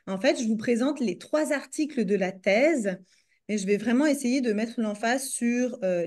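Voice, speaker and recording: A woman's voice: mid-range voice, darkish tone. Hesitant woman with a French accent. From France. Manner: hesitant, frequent fillers and restarts. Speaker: psychologist presenting her doctoral research. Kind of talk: academic presentation. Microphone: video call.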